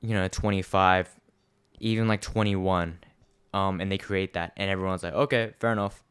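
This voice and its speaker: Low, smooth-voiced young man musing. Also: steady tone, moderate pace, a bit bright. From Australia. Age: young adult. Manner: rambling, filler-heavy, earnest and reflective. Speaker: podcast co-host. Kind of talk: casual chat.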